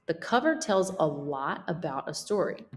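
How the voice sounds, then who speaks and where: A woman teacher reading a children's picture book aloud in a deliberate, expressive way. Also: low voice.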